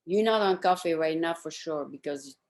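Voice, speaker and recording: A woman with a very low voice for a female speaker hosts a casual interview. She talks conversationally, putting questions and remarks to her guest. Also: normal speed, a little mellow.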